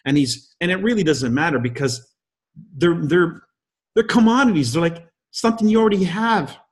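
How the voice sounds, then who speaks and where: Fifties guy, kinda high voice, pep talk on a leadership call, hammering rhetorical questions. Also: lively intonation, normal speed. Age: 50s. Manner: emphatic, punchy rhetorical questions. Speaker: guest host.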